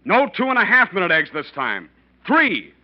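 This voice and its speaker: An actor playing a fussy, exacting, irritable husband in the style of 1940s radio drama. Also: male voice, high-pitched, wildly swooping pitch, normal speed, very noisy. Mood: grudging. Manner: exacting, peevish, clipped. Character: fussy, irritable, methodical newspaper columnist husband. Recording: dull old radio recording.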